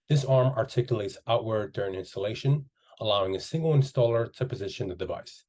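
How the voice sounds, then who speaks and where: A man in his thirties reading a scripted product training narration in a measured, polished corporate manner. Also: lowish voice, dark tone. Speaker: training presenter.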